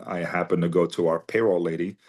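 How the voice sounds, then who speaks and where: Man in his forties, very deep voice, from Armenia, chatty storyteller, podcast guest. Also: little pitch movement, brisk, darkish tone. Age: 40s. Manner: chatty anecdotal storyteller, many fillers. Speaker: guest, company CEO. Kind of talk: conversational storytelling interview.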